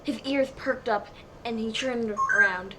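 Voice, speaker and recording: A woman's voice: on the high side, unhurried. A woman narrating a comedic dramatic reading of fan fiction in a mock-serious storybook manner. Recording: clearly noisy home recording.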